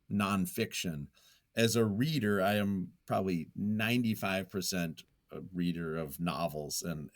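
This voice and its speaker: A man talking casually and reflectively as an interview guest, hesitant and self-effacing as he thinks aloud. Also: fairly low pitch. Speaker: podcast guest, nonfiction writer.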